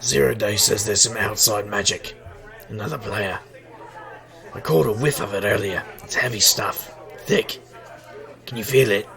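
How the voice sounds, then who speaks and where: An actor playing a wry, hard-drinking private eye and street magician in a noir audio drama, gravelly and wisecracking. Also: male voice, on the low side, normal speed, pauses often, sharp tone. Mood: sardonic, probing. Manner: wisecracking, sardonic. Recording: crowd murmur behind.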